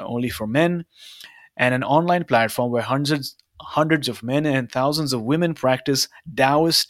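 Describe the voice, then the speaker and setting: A man's voice: medium pitch, no echo, studio quality. A man hosting a podcast interview, upbeat and chatty with many fillers. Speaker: podcast host.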